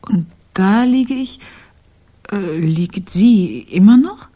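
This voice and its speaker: An actress playing a self-possessed, teasingly polite young artist's model with a soft, breathy voice in a radio crime drama. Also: female voice, medium pitch, lively intonation, takes it slowly, a little hiss or hum. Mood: incredulous.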